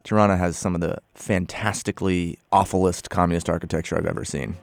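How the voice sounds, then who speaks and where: A man guesting on a radio travel show, relaxed and agreeable. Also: low voice, quick pace, creaky, no echo, studio quality. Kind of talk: radio interview.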